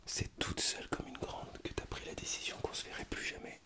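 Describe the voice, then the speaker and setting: A man playing a middle-aged hospital doctor still wounded by an old breakup, in a hushed ASMR roleplay. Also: fairly quick, lightly acted. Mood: reproachful, wounded. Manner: hushed, whispery speech. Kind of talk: French ASMR roleplay acting.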